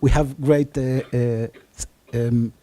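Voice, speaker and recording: A man in his forties from Argentina with an Israeli and Spanish accent, pitching his startup on stage with many fillers and self-deprecating jokes. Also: steady tone, slow, warm tone. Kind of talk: conference pitch.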